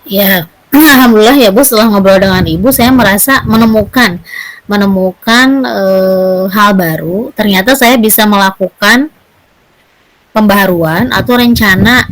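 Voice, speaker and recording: A woman's voice: mid-range voice, fairly bright tone, a little hiss or hum, rough recording. A woman. She talks in a semi-formal, polite and deferential way, as a teacher in conversation with a colleague. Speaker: teacher in peer coaching.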